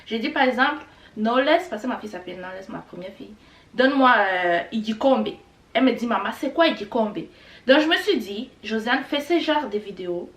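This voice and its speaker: A woman's voice: fairly high pitch, animated, fairly quick, a bit bright. A middle-aged woman, a Kinyarwanda speaker, teaching words in a chatty, repetitive way with many fillers. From Rwanda. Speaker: language teacher vlogger. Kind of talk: casual teaching vlog. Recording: echoey room, a bit far from the mic.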